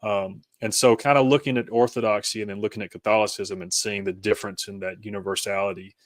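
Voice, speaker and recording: A man with a fairly low voice talks as a podcast guest in a dry, close sound. He explains his views in a conversational, hesitant way, circling through his thoughts as he goes. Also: fairly even intonation, quick pace, dry recording. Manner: hesitant, rambling, peppered with "you know" and "kinda". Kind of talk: conversational interview, explaining personal faith journey.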